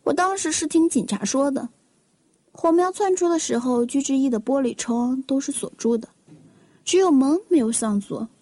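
A woman's voice: high-pitched, normal speed, mellow, dry recording, lightly acted. A woman acting a confident young niece in a mystery radio drama, explaining things in a pointed way. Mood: knowing, corrective. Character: young niece, confidently correcting her uncle. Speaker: voice actor in an amateur audio drama.

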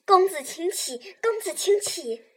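A woman voicing an eager, polite and fussy young servant boy in a children's radio play, in an excitable, pleading manner. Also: very high-pitched, unhurried, voice pushed up, exaggerated voice. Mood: flustered.

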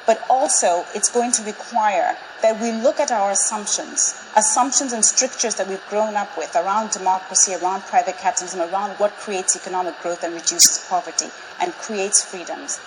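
A woman in her forties, from Zambia, gives a prepared public talk in a measured, persuasive and emphatic way to a live audience. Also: medium pitch, noisy background. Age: 40s. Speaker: speaker. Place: large auditorium with live audience.

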